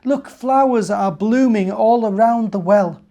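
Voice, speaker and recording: A British man voicing a curious fox and snake in a children's storybook, speaking in hushed astonishment. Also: high-pitched, measured pace, voice pushed up. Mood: awed, astonished.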